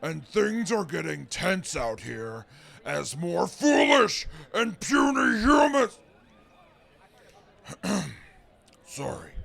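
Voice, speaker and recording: Audio drama role: gruff super mutant reporter, pompous, disdainful, swooping in pitch, deep fry in the throat, ponderous delivery. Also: male voice, a bit bright, full character voice. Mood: scornful outbursts. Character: big gruff super mutant field reporter, scornful of humans. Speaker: field reporter.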